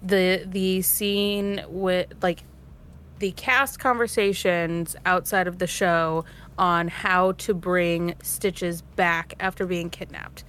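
A woman's voice: fairly low pitch, steady tone, takes it slowly, fairly bright tone. A woman in a cast discussion, talking reflectively and thoughtfully as she pieces her points together in long sentences. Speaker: cast member of an actual play series.

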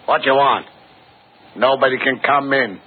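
An actor playing a surly, suspicious castle servant, curt and halting, in a 1940s radio drama. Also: male voice, medium pitch, husky. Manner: curt, broken English. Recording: thin narrow-band 1940s radio recording.

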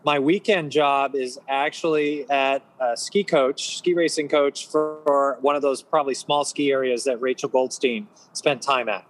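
A man's voice: mid-range voice, fairly even intonation, measured pace, hardly any pauses, low-quality recording. A man in his fifties from Massachusetts, a guest surgeon chatting relaxedly on a podcast panel. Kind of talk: casual panel talk.

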